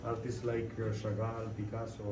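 A man with a Spanish accent gives visitors a guided cellar tour, speaking courteous, halting English. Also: on the low side, completely flat delivery, crowd noise. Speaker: wine cellar guide, restaurant staff. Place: indoor wine cellar with ambient noise. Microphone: handheld camera mic at some distance. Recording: echoey room, clear background noise, handheld camera.

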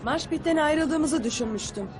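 A dry, sensible young cartoon heroine, voiced by a woman. She sounds skeptical and questioning in a high voice, with loud music behind her. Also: normal speed, close to an everyday voice. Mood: exasperated. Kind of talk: dubbed cartoon acting.

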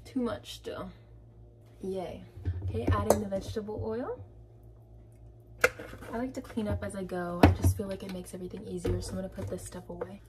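A woman's voice: mid-range voice, fairly even intonation, moderate pace, pauses often, over loud music. Chatty young woman baking at home. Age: young adult. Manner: chatty, upbeat, narrating each step as she goes. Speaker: vlogger. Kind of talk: casual bake-with-me vlog. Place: home kitchen, indoors.

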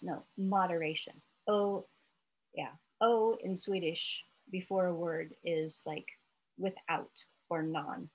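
An American woman in her late forties giving an informal, halting lesson with storytelling, thinking aloud as she explains. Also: fairly low pitch, low-quality recording. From United States, Iowa. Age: late 40s. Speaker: therapist presenting an educational video letter.

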